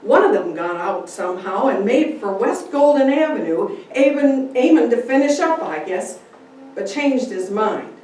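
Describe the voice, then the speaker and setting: In a very reverberant room, a woman acts out a plain, folksy frontier father from a story. He tells his tale at an unhurried pace, leaning hard on his words. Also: fairly high pitch, big pitch swings, a little mellow, pitched above the performer's own voice. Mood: animated, amazed. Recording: very echoey room, distant mic, faint noise.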